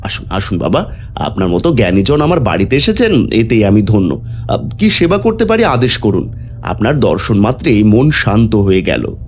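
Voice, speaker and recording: A man's voice: fairly low pitch, big pitch swings, moderate pace, soft background music. A man voicing a devout, anxious landowner father who speaks humbly and pleadingly in a cartoon drama. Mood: reverent. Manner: reverent, emotional, pleading. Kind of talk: dramatic devotional cartoon dialogue.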